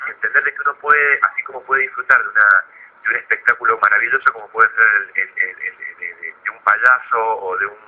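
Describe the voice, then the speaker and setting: An Argentine man, a guest psychologist in a radio interview, explaining in a didactic but halting way with repeated words. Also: lowish voice. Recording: phone-like narrow radio line.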